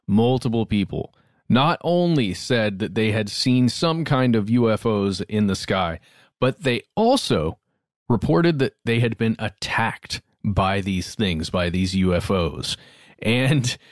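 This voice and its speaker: Male podcast host, lowish voice, brisk and plain, dry close mic. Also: no room sound. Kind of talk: casual conversational podcast talk.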